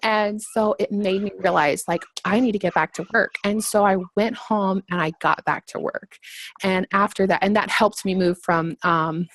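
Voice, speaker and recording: A woman's voice: medium pitch, steady tone, moderate pace, fairly bright tone, dry recording. Chatty thirty-year-old woman, giggly. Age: 30s. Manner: chatty, giggly, many fillers. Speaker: panelist sharing her business story. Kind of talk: casual personal testimony.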